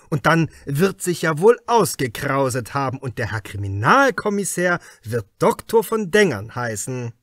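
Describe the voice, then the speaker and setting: A man's voice: medium pitch, very animated, a little slow, a bit bright, no echo, studio quality. A man voicing an agitated, impatient male police superior with an urgent, blustering manner in an audiobook. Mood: relenting, jovial. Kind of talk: audiobook character dialogue.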